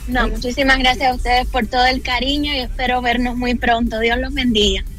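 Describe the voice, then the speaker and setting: Bright-voiced Cuban-born woman singer. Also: fairly high pitch, steady tone, fairly quick, faint music behind. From Cuba. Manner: earnest, devotional, speaks of God and her calling. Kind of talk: radio interview over a remote connection. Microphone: remote call connection.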